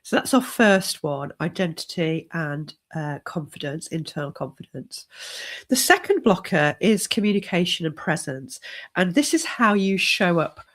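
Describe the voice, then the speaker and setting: A middle-aged woman leadership coach hosting a live stream while she has a cold, talking in a measured, encouraging way. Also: on the low side, lively intonation. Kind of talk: solo live stream talk.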